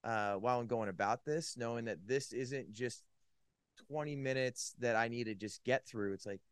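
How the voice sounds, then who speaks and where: A man's voice: mid-range voice, normal speed, clean tone, no room sound. A man from California, a podcast guest, telling his faith story in a casual, rambling way with many "likes" and restarts. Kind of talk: casual personal testimony.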